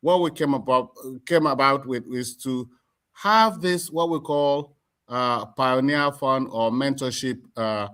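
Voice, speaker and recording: A middle-aged Nigerian man with a Nigerian accent, speaking as an interview guest in a deliberate, emphatic way, repeating words as he forms his points. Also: medium pitch, fairly bright tone, clean tone. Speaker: community association chairman, interview guest. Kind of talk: explanatory interview talk.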